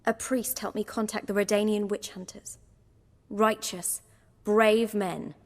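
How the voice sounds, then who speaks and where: A woman's voice: mid-range voice, flat delivery, measured pace, long reverb tail, close to an everyday voice. An actress playing a proud, embittered young woman who fled her father, earnest and resolute in her faith, in a video game. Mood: fervent. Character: proud, embittered young runaway daughter, devout believer.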